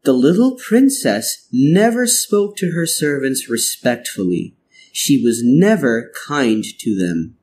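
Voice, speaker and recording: A man reading a children's storybook aloud in a deliberate, expressive scripted narration. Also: mid-range voice, a little hiss or hum.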